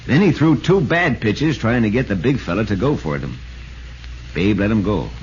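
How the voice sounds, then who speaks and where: A man's voice: lowish voice, animated, normal speed, slight background noise, natural voice. Muffled 1940s radio sportswriter played by a man. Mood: tense, suspenseful. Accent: American. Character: excitable male sportswriter recounting a ballgame. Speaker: sportswriter narrator and host. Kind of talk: 1940s radio drama narration.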